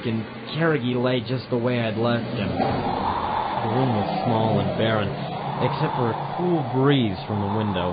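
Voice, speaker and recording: A man playing a wry, deadpan private eye narrating his case in 1940s radio noir style. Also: medium pitch, lightly acted. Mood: groggy narration. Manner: sardonic, deadpan. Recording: dull lo-fi audio with loud music.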